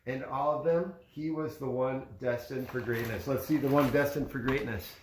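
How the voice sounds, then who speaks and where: A man's voice: mid-range voice, fairly even intonation, normal speed, noisy background. A man reading a children's story aloud in a conversational way, with casual asides to a child as a parent would. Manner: conversational, pointing out pictures to a child. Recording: echoey room.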